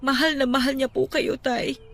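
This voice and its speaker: A gentle daughter, rather high voice. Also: female voice, fairly even intonation, moderate pace, creaky. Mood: gentle, sorrowful. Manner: earnest, pleading, respectful. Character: gentle, respectful grown daughter consoling her father. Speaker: radio drama actress. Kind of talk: emotional radio drama acting. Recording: music under the voice. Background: music.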